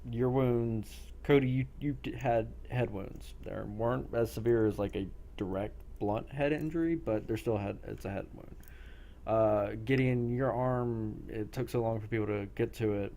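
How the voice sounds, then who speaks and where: A man working as game master, narrating a role play game in a halting way with pauses between phrases. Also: mid-range voice, mellow, a little hiss or hum.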